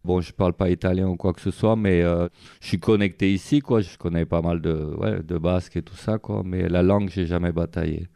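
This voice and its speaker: A man's voice: low-pitched, steady tone, brisk, vocal fry, warm tone. A man being interviewed as a street artist, talking casually and rambling with many fillers. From France.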